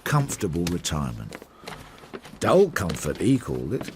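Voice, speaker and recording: A low-voiced, dryly understated detective narrator. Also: male voice, huge pitch swings, normal speed, noisy background. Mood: dry, reflective. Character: Victorian London detective sergeant recounting his investigation, dry and measured. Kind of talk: first-person radio drama narration.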